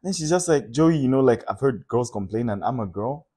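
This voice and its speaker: A man's voice: medium pitch, lively intonation, a little fast, warm tone, slightly rough. Laid-back young man telling stories. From Zambia. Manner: storytelling, laughs often, many fillers. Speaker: guest comedian. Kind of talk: casual podcast chat.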